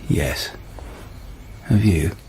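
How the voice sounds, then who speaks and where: An actor playing a weary, stoical police detective in a radio drama, speaking in a laconic, understated way. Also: male voice, low voice, expressive, extremely slow, creaky, mellow, a little hiss or hum, exaggerated voice, low-quality recording. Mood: quietly intimate.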